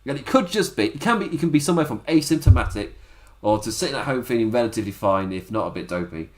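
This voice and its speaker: Casual male host, mid-pitched, rambling home recap with frequent laughs. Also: lively intonation, fast. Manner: chatty, rambling, laughs often. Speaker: wrestling podcast host. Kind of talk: casual solo review. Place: home setup on camera.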